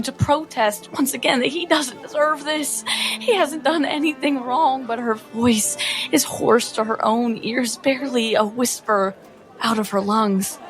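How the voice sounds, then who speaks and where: A woman with a fairly high voice narrates dramatically as a tabletop player. Her phrasing is earnest and very unhurried, with music clearly audible behind her. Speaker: player in a tabletop roleplaying game.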